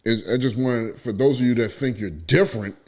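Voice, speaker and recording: A man's voice: mid-range voice, a little fast. A man from the Bronx, hosting a casual podcast chat and telling stories with lots of animation. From the Bronx, New York. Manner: animated storytelling, acts out quoted voices. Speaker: podcast host.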